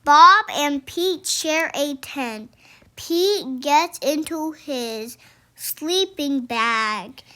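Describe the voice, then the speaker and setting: A young child reading a children's storybook aloud haltingly, sounding out words and pausing mid-sentence. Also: high voice, pushed and bright. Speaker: young reader.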